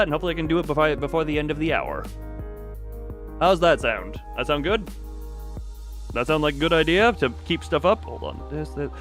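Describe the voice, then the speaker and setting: A man's voice: a little high, fairly bright tone. A man livestreaming with casual chat, muttering and thinking aloud in a distracted way. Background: background music.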